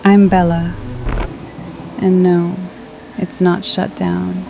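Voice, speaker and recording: A man voicing a smooth, luring, sinister ghostly hostess in a horror story. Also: high-pitched, completely flat delivery, voice pushed up, exaggerated voice. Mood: sly. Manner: slow, luring, silky. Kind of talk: horror story character dialogue. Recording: noisy recording with humming ambience.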